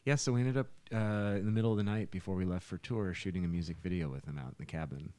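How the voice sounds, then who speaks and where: A man's voice: deep voice, fast talker, mellow. A man telling stories in a casual, conversational way as a musician guest on a podcast. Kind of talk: casual storytelling chat.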